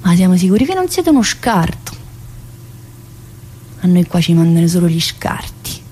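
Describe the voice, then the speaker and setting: A woman's voice: fairly low pitch, big pitch swings, normal speed, dark tone, slight background noise. An actress playing a brash, restless middle-aged female prison inmate in a theatrical monologue, with a southern Italian accent and a chatty, confrontational manner. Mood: scornful, challenging. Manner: chatty, confrontational, with frequent interjections and questions. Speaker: stage actress performing a monologue.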